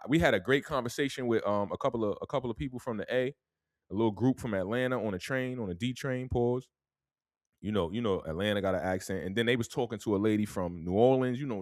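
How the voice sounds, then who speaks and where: A man's voice: mid-range voice, no echo. New york dude rambling thru a story, chill drawl, keeps saying man, dry close mic. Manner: laid-back, drawling storyteller, tags lines with "man". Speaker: podcast host. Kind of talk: casual storytelling.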